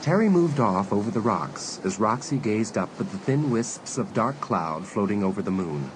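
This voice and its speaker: A man acting as the measured storyteller of a suspense audio drama, reading scripted narration. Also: fairly low pitch, animated, background noise, close to an everyday voice. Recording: very loud ambient sound effects behind.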